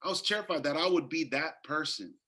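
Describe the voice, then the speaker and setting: Guest, male, 30s, bright forceful tone, earnest storyteller who restarts often. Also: a little high, expressive, fairly quick. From Northern California. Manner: earnest, many fillers and restarts. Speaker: guest health coach. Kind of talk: casual interview storytelling.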